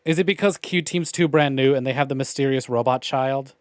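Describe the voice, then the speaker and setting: A man's voice: medium pitch, fairly quick, dry recording, clean studio sound. A man giving casual, opinionated livestream commentary on a visual novel game, with wry, profane grumbling. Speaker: game streamer.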